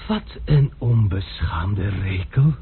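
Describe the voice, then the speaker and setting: An actor playing a worldly nobleman and swordsman who speaks in an ironic, lordly, amused way with a husky voice, heard on a tinny old radio recording. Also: on the low side, big pitch swings, slow pace, heavy background noise.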